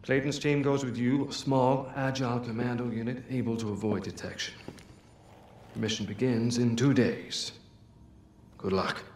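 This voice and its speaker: A man's voice: mid-range voice, steady tone, normal speed, darkish tone, a little hiss or hum, close to an everyday voice. An actor playing a stern, commanding army general in his sixties, giving a formal, authoritative briefing in a video game. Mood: stern, official. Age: 60s. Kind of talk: dramatic video game acting. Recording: echoey room, music underneath.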